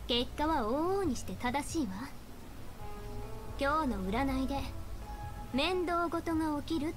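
A haughty, learned young female diviner from an anime game, speaks in a high voice. She is formal and brisk, lecturing as she explains. Music plays clearly behind her. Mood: self-assured, composed. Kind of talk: Japanese anime-style game voice acting.

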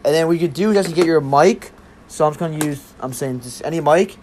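A young man giving a casual app tutorial in a rambling, halting way, often repeating himself. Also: a little high, darkish tone, slight background noise. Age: young adult. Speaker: tech tutorial presenter.

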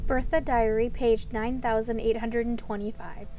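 A woman's voice: on the high side, steady tone, normal speed. A woman reading written diary notes from a video game aloud. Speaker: playthrough note reader. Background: loud game music.

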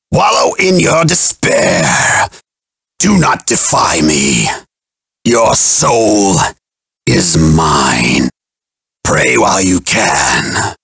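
Harsh, raspy undead pirate villain. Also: male voice, on the low side, a bit bright, no room sound, clean studio sound, full character voice. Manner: theatrical, menacing, drawn-out delivery. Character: undead male pirate villain, menacing, cruel, soul-hungry. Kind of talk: fighting game battle cries and taunts.

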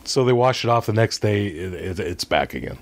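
Guy w a lowish voice chatting as a podcast guest, kinda halting, corrects himself as he explains stuff. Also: sing-song, creaky. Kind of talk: casual conversational movie discussion, retelling a story.

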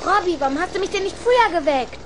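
An extremely high, animated young boy, eager and curious as a little inventor in a children's radio play. A loud vehicle drone runs behind the childlike voice. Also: fast talker, very noisy.

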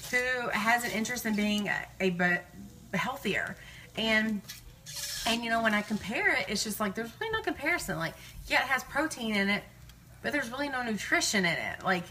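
Brief: female live-stream host, thirties, bright forward voice, informal rambling chat, faint kitchen noise behind. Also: on the high side. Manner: chatty, digressive, informal. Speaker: live-streaming vlogger and meal-prep host. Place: home kitchen, indoors.

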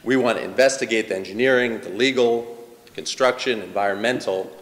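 A man's voice: fairly low pitch, brisk, a bit bright. Earnest man speaking in a large hall. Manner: earnest and persuasive. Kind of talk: public comment at a town meeting. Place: large hall, standing in the audience. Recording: reverberant hall.

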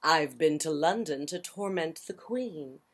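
A woman's voice: low-pitched, animated, unhurried, fairly bright tone, husky, heavily acted. A woman voicing a mischievous little pussycat who answers in rhyme. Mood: cheeky.

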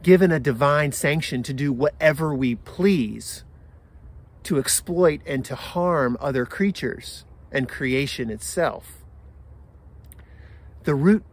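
A man in his forties with an American accent, a pastor giving a sermon in measured phrases with deliberate pauses. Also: fairly high pitch. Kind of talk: sermon for an online service. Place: outdoors in a garden.

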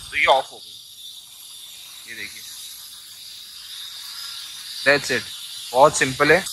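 A chatty man, talking a bit high as he shows things off in a casual outdoor vlog. He records himself on a phone in a city parking lot. Traffic and street noise sit clearly behind his voice. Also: sharp tone, poor audio. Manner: chatty, playful rhyming greeting. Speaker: vlogger. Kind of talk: casual vlog, showing a motorbike. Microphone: phone camera, handheld or at arm's length.

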